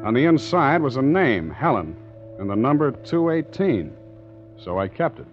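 A man acts a hard-boiled ship's skipper with a lowish voice and a wry, secretive edge. It is vintage 1940s radio adventure acting, dulled by the old recording, with music clearly underneath. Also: big pitch swings, normal speed, highs cut off, natural voice. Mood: brooding narration. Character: tough, sardonic, secretive ship's skipper, ex-Marine.

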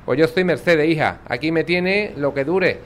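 A man's voice: on the high side, normal speed, slight background noise, natural voice. Man playing a chatty elderly Sevillian grandmother. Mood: amazed, friendly. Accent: Sevillian Andalusian. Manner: chatty, folksy, affectionate. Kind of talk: naturalistic audio drama dialogue.

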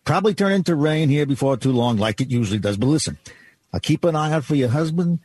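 A man's voice: fairly high pitch, lively intonation, fast talker, a little mellow, husky. An actor playing a friendly 1950s department store doorman in old-time radio drama style, folksy and reassuring.

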